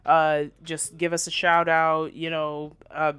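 A man's voice: a little high, monotone, takes it slowly, pushed and bright, clear, resonant tone, dry recording. A man co-hosting a podcast in casual banter, with a sweary, crudely joking way of talking. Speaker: podcast co-host. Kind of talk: casual nerd-news banter.

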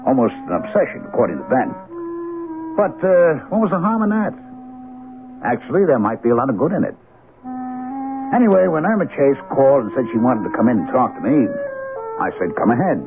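Casting: plain-spoken American cop confiding his story, lively intonation, muffled vintage radio sound. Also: medium pitch, a little fast, long pauses. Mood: reflective. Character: plain-spoken, reassuring police superior and family friend. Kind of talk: old-time radio drama acting. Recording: lo-fi radio recording, music behind.

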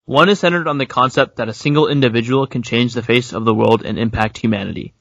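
A young man co-hosting a podcast talks quickly and brightly. His voice is middle-pitched and the sound is dry and close. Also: vocal fry, no echo. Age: young adult. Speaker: podcast co-host, student. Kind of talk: scripted intro and brief greeting.

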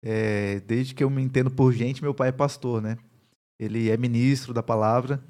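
A man in his thirties with a mixed accent from Paraíba, Brazil, a pastor calmly telling his story as an interview guest. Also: mid-range voice, moderate pace. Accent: mixed Paraíba Brazilian Portuguese. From Campina Grande, Paraíba, Brazil. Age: 30s. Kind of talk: casual interview conversation.

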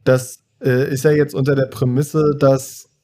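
Young guy, dark husky voice, thinks out loud with lots of ums. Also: mid-range voice, level tone. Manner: measured and thoughtful, hedging with fillers. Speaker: podcast co-host. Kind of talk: casual film-discussion chat.

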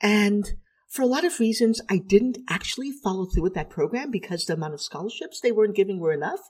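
A woman's voice: medium pitch, expressive, fast, a little mellow, husky. A middle-aged woman from Trinidad, a clinical psychologist, telling her story in a reflective, articulate way.

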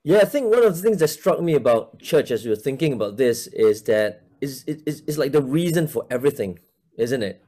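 Male guest, 40s, from Malaysia; mellow, hesitant church minister, heavy on fillers, over a video call. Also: mid-range voice, lively intonation, a little fast. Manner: hesitant, many fillers and restarts. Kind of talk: casual interview talk.

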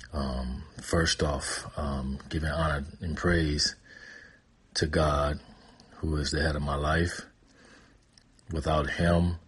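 A middle-aged man with an American accent shares a personal testimony as a guest storyteller. His voice is extremely deep and rough-edged. He speaks slowly and reflectively, halting between phrases as he finds his words. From Michigan, United States.

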